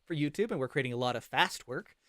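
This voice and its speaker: A man's voice: mid-range voice, animated, fast talker, pushed and bright, dry recording, studio quality. A man hosting a casual interview livecast, talking in an enthusiastic, affirming way. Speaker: host and interviewer.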